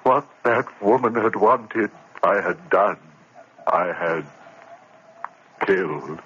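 A man's voice: medium pitch, lively intonation, a little slow, a bit bright, slightly rough. An actor playing a tormented male college lecturer losing his mind, in an intense 1940s radio drama delivery. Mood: haunted. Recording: muffled, faintly hissy old radio recording.

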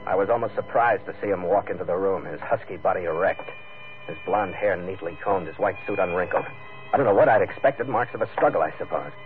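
Hard-boiled male captain, an actor's role, lowish voice, sardonic and terse, vintage radio serial with prominent music. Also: fairly quick, natural voice. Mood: moody narrating. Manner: terse, sardonic, tough-guy delivery. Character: hard-boiled, wry sea captain telling his adventure. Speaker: narrator and lead actor. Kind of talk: 1940s radio adventure acting and first-person narration. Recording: narrow vintage radio recording, music behind.

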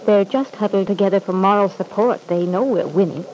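A woman's voice: mid-range voice, a little fast, a little mellow, close to an everyday voice, low-quality recording. An actress playing a ruthless, scornful young mother in a vintage radio soap, cutting and coolly self-assured. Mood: cold, defiant. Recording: old radio recording with faint hiss.

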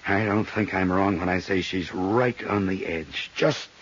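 Anxious deep-voiced man, old radio. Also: measured pace. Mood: worried. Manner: hesitant, worried, urgent. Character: anxious, shaken, well-meaning male office man. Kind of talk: 1950s radio drama acting. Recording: muffled, hissy vintage radio recording.

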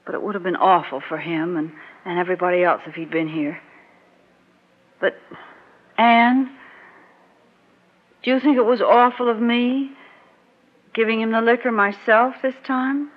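An American actress playing a composed, resolute, quietly wistful married woman in a mid-century radio drama. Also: fairly high pitch, measured pace, long pauses. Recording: dull old radio recording.

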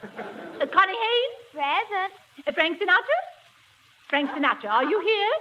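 An actress playing a prim, bossy schoolteacher in a 1940s radio comedy, with a stern, fussy, formal manner. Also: female voice, very high voice, very animated, unhurried, bright, forceful tone, exaggerated voice. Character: prim bossy female schoolteacher of a rowdy class. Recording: dull old radio broadcast, audience, noise.

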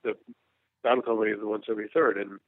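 A man's voice: fairly low pitch, steady tone, no room sound. Earnest man in his fifties on a tinny line. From United States. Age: 50s. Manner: earnest, frank, halting with restarts. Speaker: war journalist guest. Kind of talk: candid interview storytelling. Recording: tinny phone-line sound, dry.

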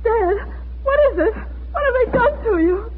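Young daughter, very high voice, earnest, distressed, vintage radio play, tinny. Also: female voice, lively intonation, moderate pace. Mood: distraught. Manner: earnest, pleading. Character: distressed, loyal young daughter of persecuted family. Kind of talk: 1940s radio drama acting. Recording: scratchy, phone-like 1940s radio recording.

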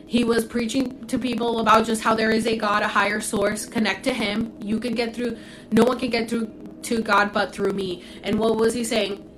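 A woman's voice: fairly high pitch, flat delivery, normal speed, fairly bright tone, slight background noise, rough recording. A young woman from Puerto Rico, raised in Florida, hosting a casual livestream and answering viewers' comments. She talks bluntly and candidly, swears freely, and fills her talk with "like," speaking into a phone. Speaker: livestream host.

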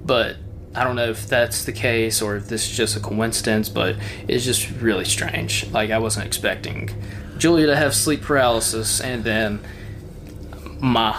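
A man co-hosting a podcast, rambling in casual chat with lots of fillers, his voice a little hoarse. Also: fairly low pitch, steady tone, moderate pace, background noise. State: voice slightly hoarse. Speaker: podcast co-host.

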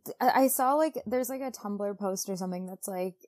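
A woman's voice: mid-range voice, fairly quick, darkish tone. Female co-host for a book chat show, mid-range voice, chatty and gushing, very informal phrasing. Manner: chatty, gushing, frequent "like" asides. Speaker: podcast co-host. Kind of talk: casual book discussion podcast.

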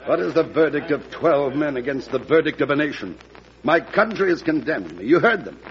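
A man's voice: fairly high pitch, expressive, normal speed, highs cut off. An actor playing a proud, wounded statesman accused of treason, theatrical and impassioned, in a classic radio drama. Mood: wounded, defiant. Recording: noisy, band-limited old radio recording.

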